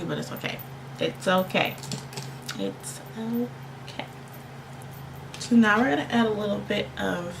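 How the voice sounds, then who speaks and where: Congested, creaky-voiced woman vlogger, noisy recording. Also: mid-range voice. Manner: playful, slangy, emphatic repetition. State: tired, under the weather with allergies. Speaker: beauty vlogger and makeup tutorial host. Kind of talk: casual chatty makeup tutorial to camera. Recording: clearly noisy home recording.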